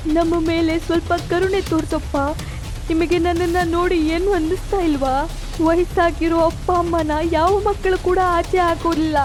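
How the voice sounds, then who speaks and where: A woman's voice: extremely high pitch, fairly even intonation, moderate pace, faint music behind. A woman voicing a pleading, hurt elderly parent in a moral story.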